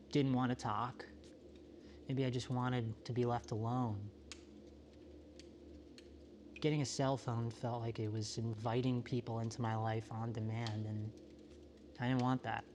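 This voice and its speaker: A man playing a solitary, introspective apocalypse survivor in a found-footage monologue, talking in a halting, self-questioning, confessional way. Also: mid-range voice, a little mellow, a little hiss or hum, lightly acted. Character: solitary, world-weary, introspective male survivor of a quiet apocalypse.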